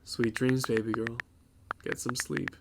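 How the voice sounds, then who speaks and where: A man's voice: mid-range voice, little pitch movement, a little slow, vocal fry, background noise. A man playing an earnest, sweet young boyfriend in an audio drama. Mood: adoring. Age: young adult.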